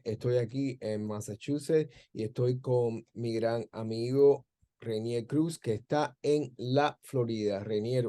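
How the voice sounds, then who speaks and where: A man's voice: medium pitch, mellow. A man hosting a conversational interview, phrasing his questions in a hesitant, circling way. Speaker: interview host, film director.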